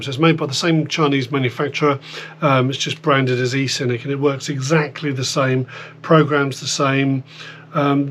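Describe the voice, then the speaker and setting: British man, higher-pitched voice, humming background noise. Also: a bit bright. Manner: informal, rambling, explaining step by step. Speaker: host of a radio gear demonstration video. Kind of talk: casual hands-on product demonstration. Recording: clear background noise with electrical hum.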